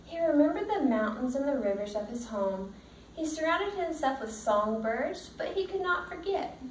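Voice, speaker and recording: Female teacher, storybook read-aloud, higher-pitched voice, roomy sound with faint noise. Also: animated, normal speed. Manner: checks understanding with simple questions. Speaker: teacher reading a picture book to children. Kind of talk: read-aloud with questions to young pupils. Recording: roomy, distant mic, faint background noise.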